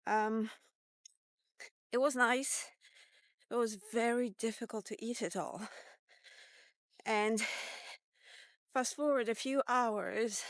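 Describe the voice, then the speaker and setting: A woman in her fifties talking casually in a diary-style vlog, halting and reflective as she thinks aloud. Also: mid-range voice, a bit bright, clear, resonant tone, dry recording. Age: 50s. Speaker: vlogger.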